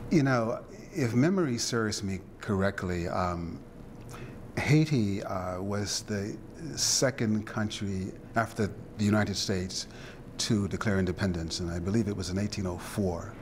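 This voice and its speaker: A man interviewing a guest, speaking in a reflective, halting way with frequent fillers and restarts. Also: on the low side, noisy background.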